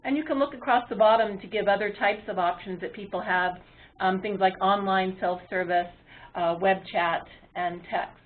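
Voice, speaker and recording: A woman's voice: medium pitch, steady tone. Woman presenting over a phone-like line. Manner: halting delivery with frequent ums and uhs. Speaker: webinar presenter and moderator. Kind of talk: webinar presentation, explaining to an online audience. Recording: muffled, phone-like webinar audio line.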